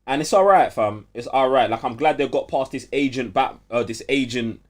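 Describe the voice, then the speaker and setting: Young male co-host, London accent, street slang, animated and emphatic chat. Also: medium pitch, moderate pace. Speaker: podcast co-host.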